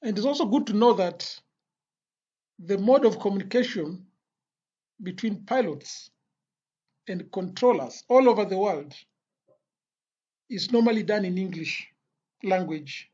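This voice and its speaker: Lo-fi muffled sound, instructor's lecture narration, medium pitch, deliberate phrasing, frequent pauses. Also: lively intonation, dark tone, husky. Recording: dull, lo-fi voice recording.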